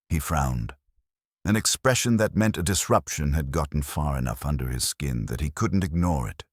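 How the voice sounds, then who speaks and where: Very deep-voiced man narrating detective fiction. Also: dry recording, clean studio sound. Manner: wry, wisecracking. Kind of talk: scripted first-person detective audiobook narration.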